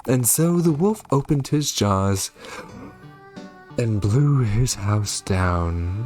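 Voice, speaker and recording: A man reading a story game aloud in an expressive storybook style. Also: on the low side. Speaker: gaming YouTuber reading a game's story aloud.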